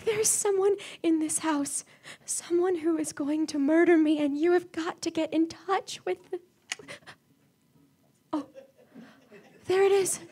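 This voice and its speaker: A woman's voice: very high-pitched, a little slow, long pauses, warm tone. A woman playing a nervous, demanding invalid wife, stammering and pleading in a 1940s radio suspense drama. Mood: desperate panic. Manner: agitated, stammering, imperious, pleading.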